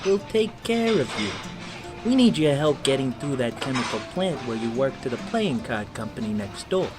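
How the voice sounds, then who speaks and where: Persuasive male crook man acting, streetwise and slick, animated mid-range voice, radio drama. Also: medium pitch, moderate pace, natural voice. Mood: slick coaxing. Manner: coaxing, streetwise. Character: slick adult male gangster recruiter, pushy and persuasive. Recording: prominent music bed behind voice.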